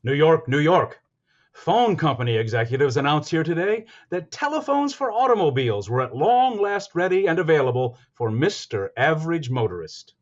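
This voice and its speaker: Through slightly muffled sound comes a man playing an old-time radio news announcer. He is formal and declamatory, pitched fairly high, with a very lively, urgent delivery. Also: normal speed. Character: urgent, formal male 1940s radio newsreader. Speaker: newsreel-style announcer.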